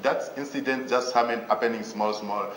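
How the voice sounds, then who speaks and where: A young man from Tanzania, a guest student, answering in a panel interview in a halting way, often repeating words. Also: medium pitch, fairly even intonation, a bit bright, slight background noise. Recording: roomy echo.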